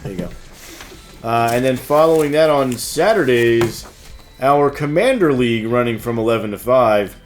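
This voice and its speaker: A man's voice: medium pitch, moderate pace, faint music behind. A man hosting a casual news show, hesitant and easygoing as he reads out announcements and banters. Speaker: host of a game shop news show.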